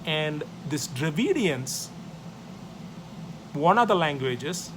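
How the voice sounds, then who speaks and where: A man's voice: fairly high pitch, very animated, takes it slowly, noisy background. A man from South India whose first language is Tamil, speaking English with an Indian accent as he gives a history class, listing numbered points and often tagging "right?" onto his sentences. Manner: loose, repetitive phrasing, numbered points, many tag questions. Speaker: teacher.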